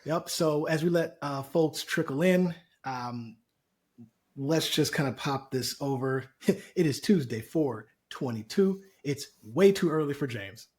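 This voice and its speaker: A man's voice: on the high side, unhurried, a little mellow. A man hosting a casual webinar, upbeat, loose and jokey, speaking over a video call. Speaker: webinar host, co-founder.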